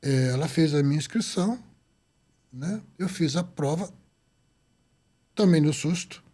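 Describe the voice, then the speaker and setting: Man in his 70s from the azores, high-pitched, tells stories with lots of quoted back-and-forth. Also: unhurried. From Azores, Portugal. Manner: lively, acts out quoted dialogue. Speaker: doctor guest. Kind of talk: storytelling interview.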